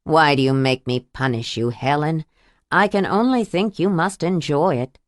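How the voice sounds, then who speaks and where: A woman narrator voicing a cold, controlling abusive man who speaks in a soft, menacing, deliberate way. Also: sits low, dry recording, studio quality, pitched below the performer's own voice, close to an everyday voice.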